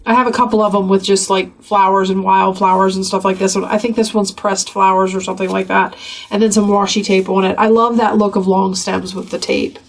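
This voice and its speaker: A woman with a gravelly voice from a cold, chatting casually as she flips through a craft journal. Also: mid-range voice, little pitch movement, fairly quick, low-quality recording. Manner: chatty, rambling, with many fillers. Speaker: craft channel host.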